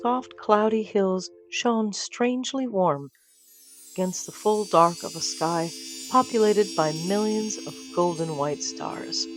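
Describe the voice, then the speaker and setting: Female fiction-podcast narrator, theatrical with dry wit, over a clear music bed. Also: medium pitch, slow. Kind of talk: dramatic scripted storytelling.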